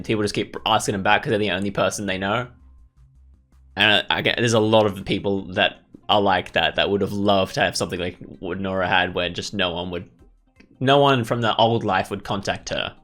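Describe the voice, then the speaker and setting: A man's voice: on the low side, a bit bright. A man hosting a visual novel playthrough, reading aloud and commenting in a casual, reflective, tentative way. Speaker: gaming video host. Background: faint music.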